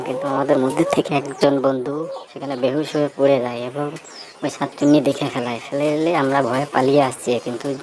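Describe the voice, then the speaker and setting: Storyteller voicing flat-toned, frightened young villager. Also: mid-range voice, slight background noise, close to an everyday voice.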